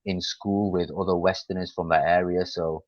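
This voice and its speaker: Young manchester guy on a video call, very low voice, chill and chatty, lots of ums, dull dry call audio. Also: normal speed, no echo. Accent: Mancunian English. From Manchester, England. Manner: relaxed, chatty, many fillers and restarts. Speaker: English tutor. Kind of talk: casual video-call conversation.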